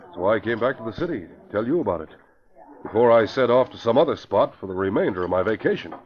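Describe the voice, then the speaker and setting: An actor playing an earnest, indignant police commissioner, in an old radio drama. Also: male voice, fairly low pitch, animated, fast talker, lightly acted. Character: middle-aged man, indignant police commissioner. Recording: dull lo-fi old radio recording.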